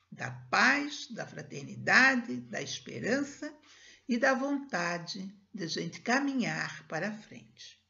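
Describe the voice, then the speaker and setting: Slow-speaking older woman on a video call. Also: mid-range voice, gravelly. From Brazil. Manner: slow, measured reading with soft prayerful passages. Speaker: spiritist lecturer. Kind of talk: reading and prayer at a spiritist meeting. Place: home room, indoors. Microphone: laptop webcam on a video call. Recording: dull lo-fi video call audio.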